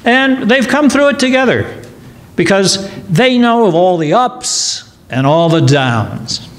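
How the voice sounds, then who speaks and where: Male emcee, fairly high voice, informal halting introductions with joking asides, slight background noise. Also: very animated. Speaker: show host. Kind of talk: informal live stage introductions.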